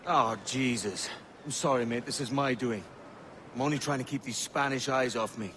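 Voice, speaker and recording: An actor playing a brash, hot-tempered young pirate with a British accent in a video game. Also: male voice, mid-range voice, moderate pace, background noise, lightly acted. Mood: apologetic. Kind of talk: video game cutscene acting.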